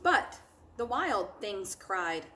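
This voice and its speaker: A woman reading a children's picture book aloud like a teacher, expressive and dramatic, with drawn-out words and hushed moments. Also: mid-range voice.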